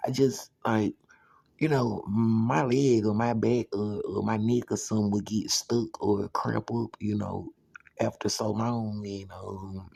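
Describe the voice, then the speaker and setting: A man in his thirties talking in a rambling personal monologue, filling every pause with "you know.". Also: fairly low pitch, fairly even intonation, creaky, a little mellow, husky, no room sound. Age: 30s.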